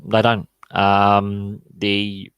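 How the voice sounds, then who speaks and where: Guy ranting on a live podcast, lowish voice, dead dry sound, lots of ums. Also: completely flat delivery, drawn-out words, creaky, a bit bright, clear, resonant tone, dry recording. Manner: opinionated, answers chat live, many fillers and repeated words. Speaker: podcast host.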